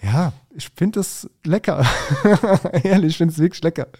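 A man's voice: fairly high pitch, unhurried, dark tone. A man co-hosting a food podcast, talking casually with informal slang and the odd English phrase.

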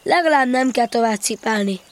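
A woman's voice: on the high side, lively intonation, natural voice. A woman playing an earnest, thoughtful young boy in a children's audio drama.

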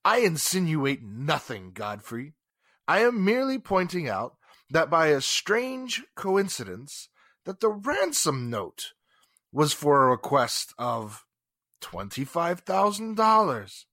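A man's voice: fairly high pitch, big pitch swings, slow, bright, forceful tone, no room sound, studio quality, full character voice. An actor playing a haughty, sarcastic, indignant businessman in 1940s radio drama style. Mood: smug, needling. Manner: pompous, formal, sarcastic. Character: haughty, indignant wealthy businessman under police questioning.

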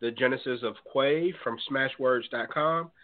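Stuffy-nosed man hosting over a phone line. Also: medium pitch. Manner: measured, earnest, with fillers. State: slightly stuffed up from a cold. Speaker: radio show host. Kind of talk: call-in radio show monologue. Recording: tinny phone-line sound.

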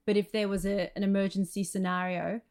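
A woman's voice: medium pitch, level tone, brisk, a little mellow. A woman chatting casually as an interview guest, with a wry, conversational manner. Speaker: guest, screenwriter.

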